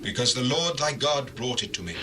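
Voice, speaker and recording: An actor playing a dutiful yet deceitful younger son in a dramatized scripture reading, formal and cautious. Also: male voice, medium pitch, animated, fairly quick, bright, forceful tone. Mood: guarded, deferential. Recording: noisy old recording.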